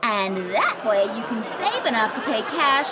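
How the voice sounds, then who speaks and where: A woman's voice: on the high side, very animated, a little slow, very noisy. A woman playing a cheerful, scatterbrained comedienne in 1940s-style radio comedy, chirpy and innocently confident. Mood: innocent confidence.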